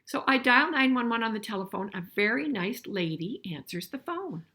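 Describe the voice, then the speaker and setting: An older woman reading a picture book aloud to children in an expressive way, pausing to chat and ask them questions. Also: a little high. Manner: expressive, asking listeners questions as she goes. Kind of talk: children's storybook read-aloud with conversational asides and questions.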